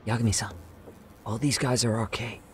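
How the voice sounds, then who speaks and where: An alert young sidekick played by a man speaks at a medium pitch in an informal, urgent way. It is game cutscene acting over clear music. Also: steady tone, moderate pace, lightly acted. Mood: alert, wry. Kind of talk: dramatic video game voice acting.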